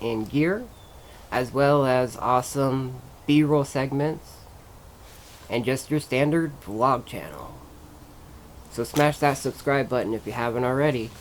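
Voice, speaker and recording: A man's voice: mid-range voice, slight background noise. A man giving a casual, plain-spoken vlog review, recommending things to his viewers. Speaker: vlogger and reviewer.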